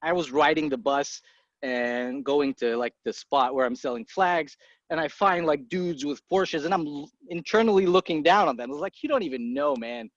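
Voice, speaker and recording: A man in his thirties from Egypt, a podcast guest talking casually and bluntly, swearing freely and tossing off quick asides. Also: fairly high pitch, no room sound. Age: 30s. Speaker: guest, entrepreneur. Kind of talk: casual interview talk. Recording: dry muffled podcast audio.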